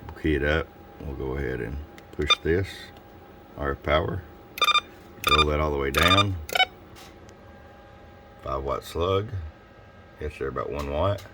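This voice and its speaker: A man with an extremely low, bassy voice presents a casual product demonstration. He explains things informally and without hurry, like a seller walking a customer through an item. Some noise sits behind his voice. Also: measured pace, long pauses.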